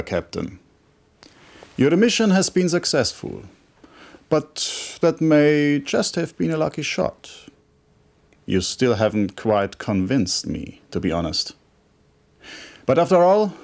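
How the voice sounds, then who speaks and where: An actor playing a stern, formal military commander who briefs an officer in a measured, authoritative way for a video game cutscene. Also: male voice, on the high side. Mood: stern, urgent, then skeptical. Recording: compressed old game video audio.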